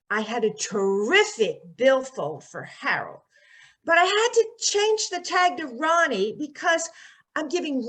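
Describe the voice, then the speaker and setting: A woman's voice: high-pitched, fairly bright tone, full character voice. An actress playing a scatterbrained, chatty, boy-crazy young woman in a 1940s-style radio comedy, rambling and gushing.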